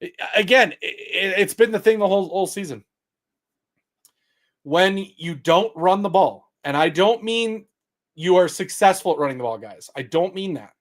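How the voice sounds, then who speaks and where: Fired-up guy ranting about a football game, loud projecting voice, kinda high. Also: animated, moderate pace. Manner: loud projecting voice, heated and exasperated; reads stats aloud to chat. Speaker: sports talk host. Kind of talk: post-game livestream commentary.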